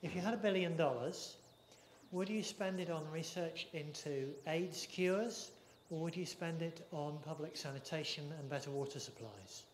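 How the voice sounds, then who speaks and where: A man in the audience politely asking the lecturer a deliberate question. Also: fairly high pitch. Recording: echoey hall, faint hiss.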